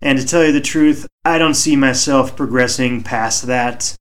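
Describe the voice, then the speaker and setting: Man with a soothing, measured voice. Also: medium pitch. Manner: measured, reflective, deliberately slow. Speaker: podcast host. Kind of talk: solo podcast monologue. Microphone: home microphone.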